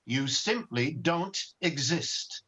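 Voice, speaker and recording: A man playing a gentle, earnest, bumbling guardian angel with a hesitant, genteel manner in 1940s radio drama style, recorded over a video call. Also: a little high, expressive, slow pace, slightly rough, exaggerated voice. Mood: delighted, mysterious. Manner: hesitant, old-fashioned, genteel. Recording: dull, muffled video-call audio.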